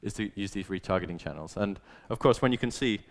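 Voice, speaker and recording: A man's voice: lowish voice, fast talker. A man presenting at a business event, explaining things in a casual, conversational way with plenty of "like". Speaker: conference speaker, growth manager. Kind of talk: informal business presentation to an audience.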